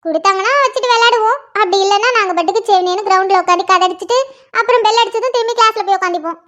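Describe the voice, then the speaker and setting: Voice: very high-pitched, racing, bright, forceful tone. A performer doing a teasing college-age older sister. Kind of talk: one-person comedy skit. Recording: dull lo-fi recording.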